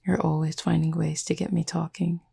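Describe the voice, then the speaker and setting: Woman acting as a gentle Cupid roommate, very husky and breathy, heavy vocal fry, slow, soft, reassuring, ASMR audio roleplay. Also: deep voice, flat delivery, heavily acted. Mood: tender, softly teasing. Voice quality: breathy, husky, creaky near-whisper. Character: gentle, composed Cupid roommate soothing someone to sleep.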